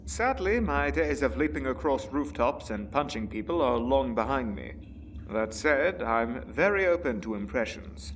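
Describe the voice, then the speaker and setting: An actor playing a calm, retired older butler and mentor in an audio drama, formal, dry and measured. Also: male voice, mid-range voice, recorded from a distance, slight background noise. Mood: dry, wry.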